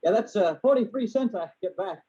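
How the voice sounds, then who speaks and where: A man's voice: high voice, expressive, brisk, warm tone. A man playing a flustered, hesitant, mild-mannered fellow in a 1940s radio comedy-drama style. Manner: hesitant, fussy. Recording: muffled old 1940s radio recording.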